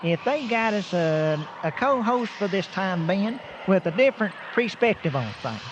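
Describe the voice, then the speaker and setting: A man's voice: high-pitched, sing-song, normal speed, lo-fi sound, noisy background. A man playing a folksy, flustered film-show host, polite and stammering, in a comedy radio sketch. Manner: hesitant, stammering, courteous.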